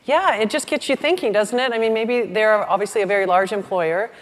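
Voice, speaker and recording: A woman's voice: medium pitch, a little fast, noisy background. A woman moderating a conference session in a friendly, conversational way, thinking aloud between speakers. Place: large conference hall with audience. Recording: reverberant hall with audience murmur.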